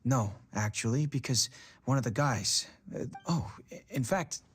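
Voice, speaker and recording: A man playing a mild, worried father in a video game, speaking haltingly as he tries to calm things. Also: medium pitch, husky. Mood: hesitant. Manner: hesitant, halting. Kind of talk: English video game voice acting.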